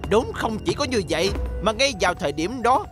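A man's voice: sits high, very animated, brisk, over music. A man voicing a scheming older evil inventor in a dubbed cartoon, grandiose and gleefully wicked. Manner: theatrical, grandiose. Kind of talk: dubbed children's cartoon acting.

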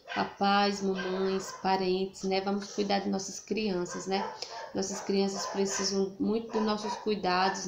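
A woman in her thirties speaks in an earnest, chatty way into a phone camera, keeping her pitch flat and level. The small room around her rings with echo, and a faint hum sits underneath. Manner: earnest, repeats "né?" and "tá bom?" often. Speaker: family vlogger. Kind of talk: casual devotional vlog talk. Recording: echoey room, faint ambient noise.

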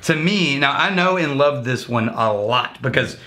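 A man in his thirties hosting a casual whiskey tasting, joking and lecturing with lively gestures in a home bar. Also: mid-range voice, moderate pace, a little hiss or hum. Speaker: whiskey reviewer and teacher. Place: home bar room lined with bottle shelves.

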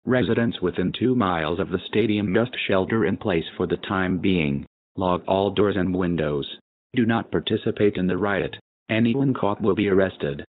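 A man's synthetic voice reading an emergency alert in a flat, even manner. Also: low-pitched, normal speed, dry recording, narrow, tinny audio.

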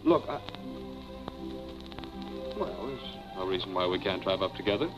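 A suave philandering husband in a 1940s Hollywood film. His lowish voice moves up and down freely in wry, prickly banter. The old soundtrack has music behind it. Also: lively intonation, unhurried, highs cut off. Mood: wryly sparring.

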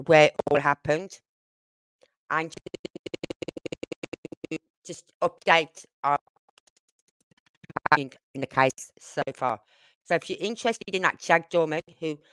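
A woman's voice: low voice, a bit bright, clear, resonant tone, no echo, low-quality recording. A woman with a British accent hosting a casual true-crime livestream, chatting in a rambling, informal way. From United Kingdom. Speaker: livestream host.

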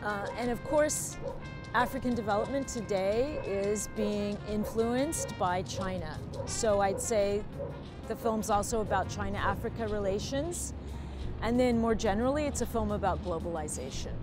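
An older woman, a documentary filmmaker, explaining her film in thoughtful, measured interview answers. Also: medium pitch. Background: background music.

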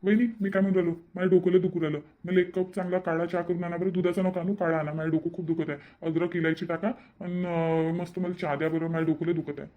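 A man's voice: high-pitched, flat delivery, quick pace, hardly any pauses, phone-quality sound, close to an everyday voice. A man voicing an easygoing, moody young brother-in-law in a cartoon, casual and repetitive, in Varhadi-accented Marathi. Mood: reluctant, fretful. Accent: Varhadi Marathi, Vidarbha. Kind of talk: amateur cartoon voice-over.

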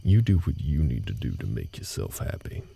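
A man plays a rock-bottom deep cowboy with a heavily gravelled voice. He is a weary, gentle ranch hand in an intimate audio drama, talking haltingly and folksily over night ambience. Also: sing-song, heavily acted. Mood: earnest, advising. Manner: halting, low-key, folksy drawl-like phrasing. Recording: night ambience noise behind the voice.

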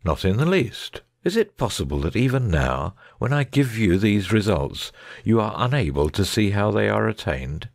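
A man playing a confident, analytical gentleman detective in a dramatic audiobook, precise and didactic. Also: fairly low pitch, big pitch swings, normal speed, gravelly, no room sound, studio quality, heavily acted.

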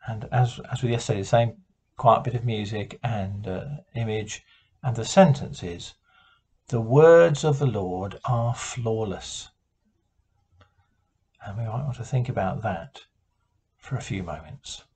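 A British man in his sixties quietly leading evening devotions and prayer, gentle and a little hesitant, speaking at home. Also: on the low side, measured pace, breathy edge. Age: 60s. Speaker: prayer leader. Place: living room at home.

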